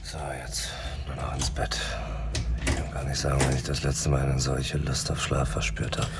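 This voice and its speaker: An actor playing a weary, flippant private investigator in a German radio crime drama, with colloquial, offhand, wry delivery. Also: male voice, a bass voice, completely flat delivery, normal speed, noisy background. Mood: exhausted.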